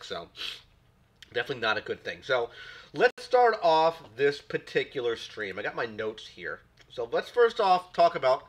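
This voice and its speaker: A man hosts a casual live stream from a home desk mic, his voice carrying the tail end of a cold. His tone is bright and forward. He rambles easily, doubling back on words as he chats to his viewers. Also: medium pitch. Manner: rambling, repeats words, greets chat viewers. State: recovering from a cold. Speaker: stream host.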